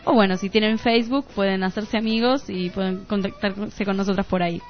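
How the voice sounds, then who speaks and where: A woman's voice: medium pitch, fairly even intonation, a little fast. A woman with a Rioplatense Argentine accent co-hosting a radio show in a casual, chatty style. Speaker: radio co-host. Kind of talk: casual radio chat, addressing listeners. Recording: slightly muffled radio audio with music behind. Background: music.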